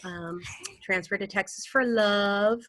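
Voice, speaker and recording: A woman's voice: mid-range voice, slow, fairly bright tone. A woman from Wisconsin speaking as an author on a panel, easygoing with frequent fillers. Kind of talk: casual panel talk. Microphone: video call.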